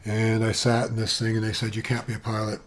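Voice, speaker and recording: Soft-voiced chatty man in his seventies. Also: lowish voice, monotone, fast talker. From Canada. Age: 70s. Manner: chatty, eccentric, wandering with asides and fillers. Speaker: vlogger. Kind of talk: rambling personal monologue. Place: at home, sitting at his computer. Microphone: webcam with external sound gear.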